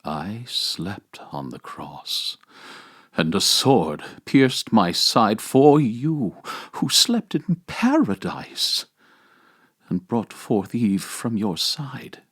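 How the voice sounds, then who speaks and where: A man's voice: on the low side, very animated, extremely slow, fairly bright tone, slightly rough, heavily acted. A man voicing a majestic, commanding yet tender Christ among the dead in a solemn, declamatory dramatic reading of a homily. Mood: grave, tender.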